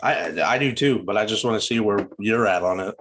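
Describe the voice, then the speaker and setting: A man's voice: on the low side, fairly quick, a bit bright, slight background noise. A man co-hosting a podcast, chatting casually in a slangy, joking, playfully nitpicky way. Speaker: podcast co-host. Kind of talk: casual banter reviewing a movie.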